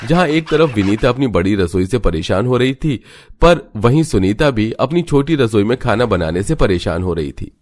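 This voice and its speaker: A man's voice: mid-range voice, sing-song, moderate pace, a little mellow. A man reading scripted narration for a cartoon family story as its narrator.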